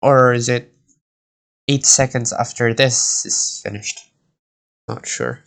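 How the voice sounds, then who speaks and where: A man's voice: medium pitch, steady tone, slow, gravelly, a bit bright. A man doing casual live gameplay commentary, rambling and thinking aloud. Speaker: game streamer.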